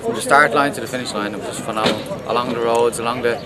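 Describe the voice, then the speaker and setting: A man's voice: medium pitch, rapid-fire. An Irish man, a race participant, giving enthusiastic, repetitive praise in a street interview. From Ireland. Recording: loud crowd behind.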